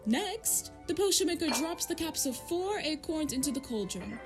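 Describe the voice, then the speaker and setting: A woman's voice: high voice, expressive, normal speed, loud music behind the voice. A woman playing a prim, controlling fairytale narrator in a short film, fussy and polite, with an emphatic sing-song storyteller delivery. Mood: prim, cheerful.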